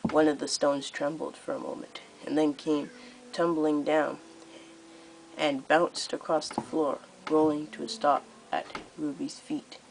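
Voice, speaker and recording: A teenage girl with a low-pitched voice narrates a storybook aloud. Her reading is expressive and slightly halting, with clear noise behind it. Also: rough recording. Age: teens. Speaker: amateur audiobook reader. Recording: noticeable background noise.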